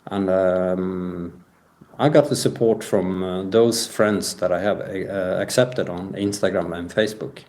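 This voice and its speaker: A man giving a personal account as an interview guest, speaking with halting, hesitant phrasing. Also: low voice, steady tone, darkish tone, slight background noise. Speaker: podcast guest.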